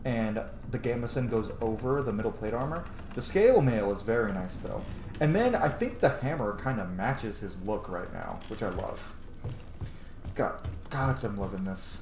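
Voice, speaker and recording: A man's voice: lowish voice, lively intonation, normal speed. Man commentating a game in an echoey room. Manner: enthusiastic, muses aloud, casually profane. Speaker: gaming streamer, let's play host. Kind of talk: casual gameplay commentary. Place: indoor room at home. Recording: roomy echo, clear background noise.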